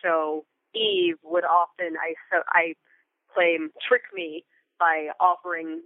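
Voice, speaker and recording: An American woman in her thirties answering interview questions in a thoughtful, articulate, conversational way, with deliberate emphasis on key words. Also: on the low side, level tone, no echo. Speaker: interview guest, theater company member and Foley artist. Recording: thin, phone-like remote line.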